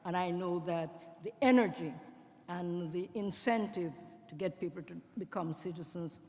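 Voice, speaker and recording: A woman commissioner speaking at a public hearing in a conversational, slightly halting way. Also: fairly low pitch, darkish tone. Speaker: commissioner, former city council member. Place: public hearing in a school building. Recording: long reverb of a hearing hall.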